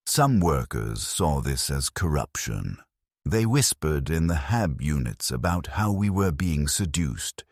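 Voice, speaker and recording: Very deep, husky male narrator. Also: dry recording, studio quality. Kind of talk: scripted atmospheric story narration.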